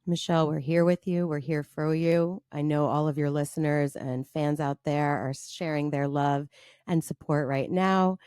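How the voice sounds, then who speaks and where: A woman's voice: deep voice, steady tone, normal speed, a little mellow, smooth, dry recording, studio quality. A woman opening a comedy podcast, talking casually and piling on playful praise. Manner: playfully effusive. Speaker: podcast co-host.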